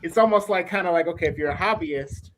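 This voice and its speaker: A man's voice: high-pitched, fast talker, a bit bright. Rambling male interview host. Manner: rambling, circles around questions before landing them. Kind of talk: casual interview chat.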